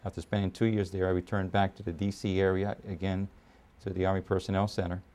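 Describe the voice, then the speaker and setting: A man in his fifties from Puerto Rico, raised in New York, speaking as an army officer in a documentary interview, measured with frequent pauses and fillers. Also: deep voice, little pitch movement, mellow. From Puerto Rico, raised in New York City. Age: 50s.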